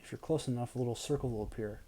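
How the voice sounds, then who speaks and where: Soft mellow man coaching a game. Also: mid-range voice, racing, slight background noise. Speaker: game coach in a boyfriend roleplay audio. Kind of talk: casual gameplay instruction, ASMR roleplay.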